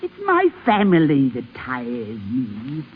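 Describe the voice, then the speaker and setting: An actress playing a very old, shrewd, commanding grandmother in a radio drama, speaking deliberately with needling questions. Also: a bass voice, huge pitch swings, slight background noise, full character voice. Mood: fretful, sly. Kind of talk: 1950s radio drama acting. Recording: vintage dull radio recording.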